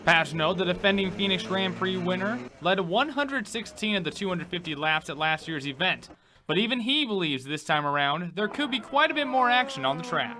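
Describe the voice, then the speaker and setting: A man's voice: high voice, expressive, brisk. A man reporting sports recaps in an emphatic, announcer-like broadcast delivery.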